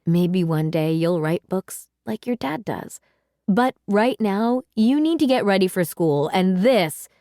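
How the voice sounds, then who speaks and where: A woman's voice: medium pitch, animated, takes it slowly, mellow, no echo, clean studio sound, pitched below the performer's own voice. A woman voicing a stern, skeptical mother in a children's story read-aloud, reproachful and emphatic. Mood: dry, skeptical. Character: stern, skeptical mother scolding her young daughter.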